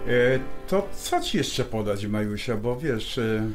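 Lively, coaxing husband, radio drama. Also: male voice, mid-range voice, slow, music in the background. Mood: solicitous. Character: good-natured, teasing husband of a convalescing wife. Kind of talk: naturalistic radio drama dialogue.

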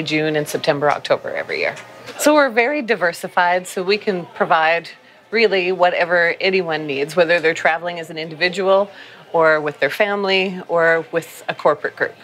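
Middle-aged woman, low voice, friendly unscripted interview, indoor lobby. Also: a bit bright. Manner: friendly, speaks with fillers. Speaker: hotel marketing staff. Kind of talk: on-camera interview.

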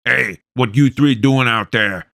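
A man voicing a greedy, petty patrol soldier who talks gruffly and impatiently. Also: medium pitch, measured pace, fairly bright tone, no room sound, pitched above the performer's own voice. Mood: suspicious.

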